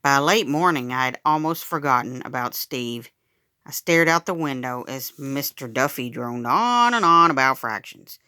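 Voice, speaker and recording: Woman, children's read-aloud, dramatic storyteller, very animated intonation, bright, dry studio-like sound. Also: extremely low pitch, moderate pace, smooth, dry recording. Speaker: storytime reader.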